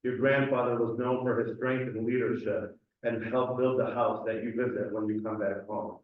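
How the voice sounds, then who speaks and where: A young adult man from Cleveland reading a personal essay aloud at a community gathering, earnest and measured. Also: lowish voice, steady tone. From Cleveland, USA. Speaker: community speaker. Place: community event hall. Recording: echoey hall, distant and dull mic.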